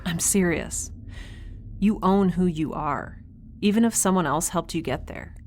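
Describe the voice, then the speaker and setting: A frank, principled woman of a starship crew, played by an actress, with a lowish voice. She speaks earnestly and firmly with dry wit, in naturalistic sci-fi audio drama style over a noticeable bed of background ambience. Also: a little slow, a little mellow, slight background noise, lightly acted. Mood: earnest, encouraging. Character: frank, principled woman, caring space crewmate to a captain.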